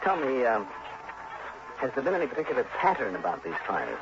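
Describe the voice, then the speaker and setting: An actor playing an easygoing, inquisitive insurance investigator and narrator in an early 1960s radio drama, wry and relaxed. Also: male voice, medium pitch, fairly quick. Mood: probing. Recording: noisy, dull vintage radio recording.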